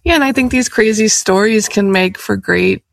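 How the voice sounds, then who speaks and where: A woman's voice: medium pitch, normal speed, vocal fry. A woman hosting an interview, friendly and asking short questions. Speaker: interviewer, host. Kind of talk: casual interview.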